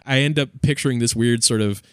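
A man's voice: medium pitch, fairly quick, clear, resonant tone, no echo. Man in casual discussion, dry sound. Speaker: podcast panelist.

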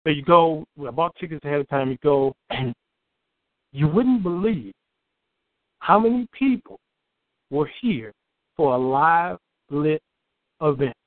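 An outspoken man from Chicago with a booming voice, sitting somewhat high in the male range. He tells his story as an interview guest, piling up repeated words when he gets going. The sound is dry and tinny, like it's coming down a phone line. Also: lively intonation, measured pace, pauses often, no room sound.

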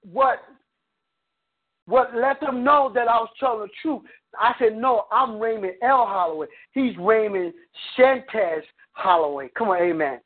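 High-pitched preacher man on a tinny phone line, storytelling, keeps saying come on amen. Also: unhurried. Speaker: guest preacher.

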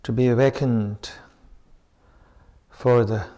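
A man guides a meditation in a smooth, clear voice. He speaks extremely slowly, in short phrases set apart by long pauses. His pitch stays level, giving the teaching a steady, measured delivery. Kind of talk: slow guided meditation with spoken reflections.

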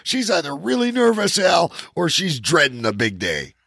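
Man, husky voice, dry close sound, casual comedic co-host, teasing, sweary, interrupting. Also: fairly high pitch, wildly swooping pitch, moderate pace, fairly bright tone, no echo.

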